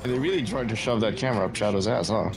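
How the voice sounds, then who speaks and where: A man's voice: on the low side, rapid-fire. A man giving casual co-commentary on a speedrun, joking and asking mock-skeptical questions. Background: video game music.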